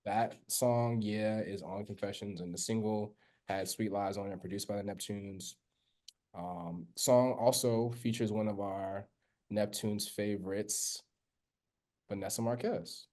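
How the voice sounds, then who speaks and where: Slow, mellow-voiced man. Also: lowish voice, steady tone. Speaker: podcast co-host. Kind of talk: casual music chat.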